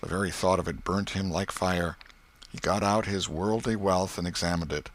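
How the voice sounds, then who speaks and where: A man reading a story as an audiobook narrator, in a measured, expressive literary style. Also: low voice, a little hiss or hum.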